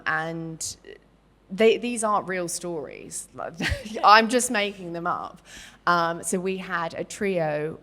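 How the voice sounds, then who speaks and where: A woman's voice: low-pitched, a bit bright. A middle-aged Australian woman, a business founder speaking on a panel, talking candidly and loosely, with lots of fillers and restarts. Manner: chatty and candid, loose and rambling with fillers and self-interruptions. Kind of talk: candid panel talk at a live event.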